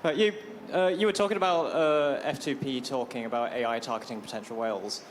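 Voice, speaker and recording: A man from the audience asking the panel a question, hesitant and halting. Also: medium pitch, slight background noise.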